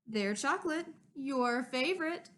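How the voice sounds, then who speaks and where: A woman's voice: sits high, lively intonation, a little slow, fairly bright tone, a little hiss or hum. A woman reading a storybook aloud, voicing a young girl, a little sister who speaks in a matter-of-fact way.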